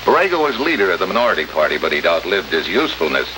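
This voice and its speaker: An actor playing a tough, wisecracking American special agent in an old radio drama, sardonic and slangy. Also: male voice, low voice, big pitch swings, racing. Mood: needling, hard. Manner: sardonic, hard-boiled, slangy. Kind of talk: 1950s radio drama acting. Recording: noisy old radio recording, heavy hiss.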